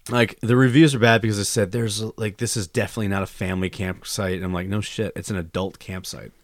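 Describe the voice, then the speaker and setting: A man's voice: on the low side, steady tone, quick pace, no echo, studio quality. A man hosting a podcast, chatting casually in a rambling, crude way and swearing a lot. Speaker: podcast host. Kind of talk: casual chat. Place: indoor room recording a podcast. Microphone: studio mic he monitors himself.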